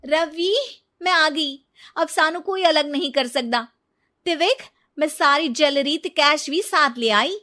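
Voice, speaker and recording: Scheming young bride, played by a woman, Punjabi accent, very high voice, defiant and emphatic, for animated storytelling. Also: measured pace. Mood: gloating.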